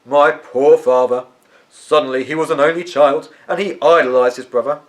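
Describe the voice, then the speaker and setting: A man's voice: medium pitch, steady tone, normal speed, voice pushed up, lightly acted, rough recording. Man voicing Peyton, a weary, emphatic eccentric uncle. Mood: musing, wistful. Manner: irritated, musing, emphatic. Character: Uncle Peyton, weary, tetchy but kindly tall eccentric uncle. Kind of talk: storybook character voice.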